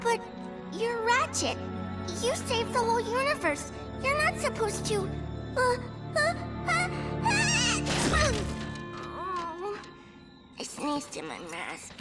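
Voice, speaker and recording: Tiny, very high-voiced orphan girl. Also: huge pitch swings, takes it slowly, full character voice. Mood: awestruck. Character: small, awestruck, earnest orphan girl. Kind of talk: animated game cutscene acting. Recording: loud music behind the voice.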